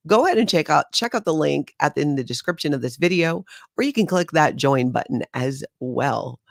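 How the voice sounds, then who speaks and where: A middle-aged woman from Hawaii hosting a casual livestream, talking in a chatty, animated way with incredulous asides. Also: on the low side, no room sound, clean studio sound. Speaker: channel host.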